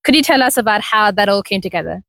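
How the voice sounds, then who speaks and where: A woman's voice: mid-range voice, lively intonation, quick pace, vocal fry, a bit bright, dry recording, clean studio sound. A woman working as a radio reporter, putting prepared questions to an interviewee.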